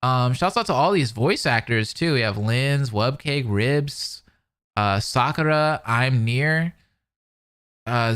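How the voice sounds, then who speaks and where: A man's voice: mid-range voice, fairly bright tone. A man doing casual, slangy, enthusiastic live commentary, reading narration aloud and reacting as a streamer. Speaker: streamer reacting to and reading a fan-dubbed visual novel. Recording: music behind the voice. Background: music.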